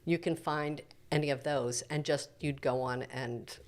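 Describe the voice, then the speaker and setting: A woman's voice: low-pitched, fairly even intonation, a little slow. A middle-aged American woman explaining things in an unscripted conversation as a podcast guest. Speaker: podcast guest discussing addiction recovery.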